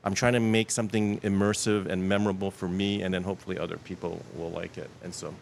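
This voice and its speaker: A man's voice: low voice, fast talker, vocal fry, a little hiss or hum. A middle-aged man who grew up in Queens, New York, telling rambling anecdotes as a guest in a casual interview. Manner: rambling, self-correcting, animated anecdotes. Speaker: guest, app developer.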